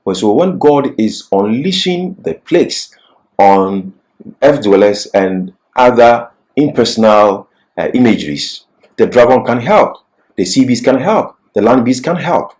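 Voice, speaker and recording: A man's voice: medium pitch, expressive, unhurried, a little mellow, low-quality recording. A man giving an expository Bible lecture as a teacher, repeating key phrases for emphasis.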